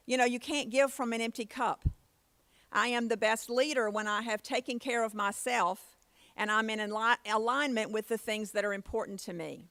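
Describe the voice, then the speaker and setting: A woman's voice: medium pitch, creaky, fairly bright tone, dry recording. A woman giving a motivational conference keynote with personal stories, in a plain-spoken, folksy way. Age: 50s. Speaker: keynote speaker.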